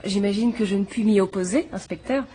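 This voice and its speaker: An actress playing a fussy, patronizing live-in companion in a dubbed drama, with a gossipy, condescending manner. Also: female voice, mid-range voice, brisk, warm tone, lightly acted. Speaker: companion and housekeeper.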